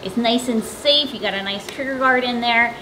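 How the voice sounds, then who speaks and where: A woman's voice: a little high, normal speed, background noise. An American woman in her thirties giving a casual, conversational product review to camera as host. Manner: conversational, informal. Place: backyard patio and home kitchen.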